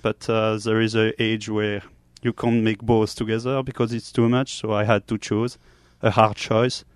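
A young man from Switzerland, answering casually in halting English. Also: lowish voice, little pitch movement, no room sound. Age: young adult. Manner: halting non-native English. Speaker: student athlete, interview guest.

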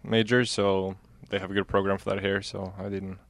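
A man's voice: low voice, level tone, moderate pace, gravelly, no echo. A young man with a Norwegian accent giving casual answers as an interview guest. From Norway. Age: young adult. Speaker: student athlete, interview guest.

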